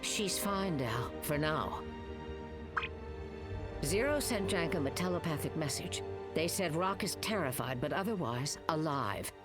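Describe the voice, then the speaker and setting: A woman voicing a commanding female starship captain hologram in a video game, calm and authoritative. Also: low-pitched, measured pace. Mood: urgent. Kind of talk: video game cutscene acting. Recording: game cutscene with loud music behind.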